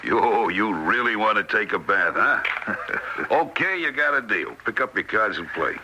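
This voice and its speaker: A man's voice: on the low side, expressive, moderate pace, natural voice. A man playing a cocky, swooping card player. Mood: cocky, eager. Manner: breezy, slangy, teasing. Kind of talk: old-time radio drama acting. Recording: noisy old radio drama recording.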